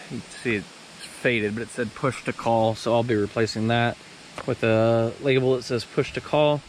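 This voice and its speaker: A man's voice: medium pitch, rough recording. A man giving a casual, plainspoken hands-on walkthrough, explaining things step by step as he reviews and installs a product. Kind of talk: casual hands-on how-to narration. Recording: clear background noise, ambient sound.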